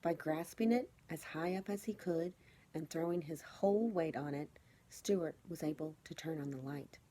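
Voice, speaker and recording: A woman teacher reading a children's storybook aloud, phrasing it clearly and expressively for young listeners. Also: low voice, normal speed, mellow.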